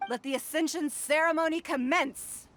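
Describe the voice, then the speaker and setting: A woman's voice: high voice, fairly even intonation, normal speed, a bit bright, soft background music. A woman playing a dignified, ambitious leader in a video game, speaking formally and ceremoniously. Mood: proud, solemn. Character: dignified, ambitious, stately female leader addressing her people. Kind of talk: video game speech acting.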